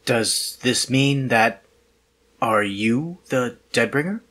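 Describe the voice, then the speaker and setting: An anxious, well-mannered man begging for help in a radio play, played by a male actor. His mid-pitched voice is husky. He sounds hesitant, earnest and desperate. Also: slow pace, fairly bright tone. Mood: nervous. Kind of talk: audio drama acting.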